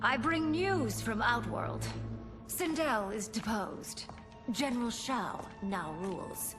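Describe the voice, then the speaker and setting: An actress playing a proud, cunning young warrior princess in a video game cutscene. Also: female voice, on the high side, animated, slow pace. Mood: sly, composed. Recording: loud music behind the voice.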